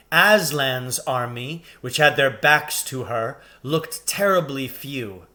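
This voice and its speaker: A man reading a children's story aloud with expressive, deliberate and dramatic phrasing. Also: fairly high pitch. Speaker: reader, teacher reading aloud.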